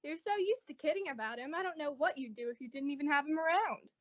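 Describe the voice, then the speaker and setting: High-voiced, gently teasing radio mom, played by a woman. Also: expressive, fast. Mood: teasing reproach. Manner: soothing, lightly scolding. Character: gentle, teasing, good-humored middle-aged mother and wife. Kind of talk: old-time radio sitcom acting. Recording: dull, lo-fi audio.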